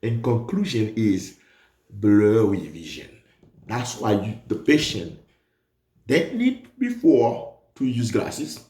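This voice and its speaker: Animated man teaching in an echoey room. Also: on the low side, slow. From Haiti. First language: Haitian Creole. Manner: animated, emphatic, repeats key points. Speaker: nursing exam tutor. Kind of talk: lively exam review lesson.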